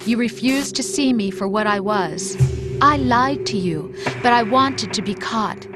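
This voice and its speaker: A woman's voice: fairly high pitch, a little slow, lightly acted. An actress playing a hurt young woman who speaks to her lover in a reproachful, cutting way, in a video game cutscene. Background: game music.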